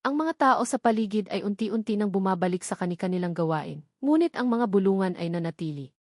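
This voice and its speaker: A woman's voice: medium pitch, lively intonation, brisk, darkish tone, dry recording, clean studio sound. A woman narrating a romantic drama story from a script in an earnest, sentimental manner. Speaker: narrator of love story channel.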